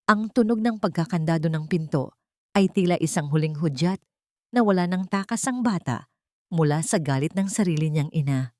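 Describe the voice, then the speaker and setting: A woman's voice: fairly low pitch, expressive, normal speed, warm tone, no room sound, studio quality. A woman reading scripted dramatic story narration in a solemn, suspenseful manner. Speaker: narrator of audio drama stories.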